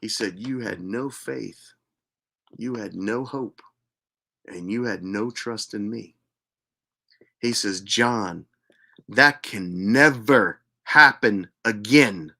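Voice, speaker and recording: A man earnestly telling a personal spiritual story as host, repeating himself for emphasis and sometimes dropping to a hushed voice. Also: medium pitch. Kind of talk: personal testimony monologue.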